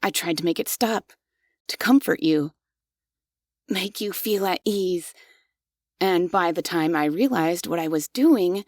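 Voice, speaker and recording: A devoted, gently obsessive maid robot, voiced by a woman in an ASMR roleplay speaks in a low, slightly gravelly tone. Her measured, unhurried phrasing stays soft and close. The sound is dry, with no room around it. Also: no echo. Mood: softly apologetic. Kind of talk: scripted ASMR audio roleplay.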